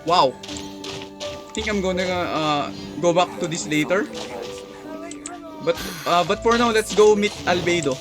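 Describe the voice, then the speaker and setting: A man giving casual gameplay commentary, halting as he thinks aloud. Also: high-pitched. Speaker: game streamer. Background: game music.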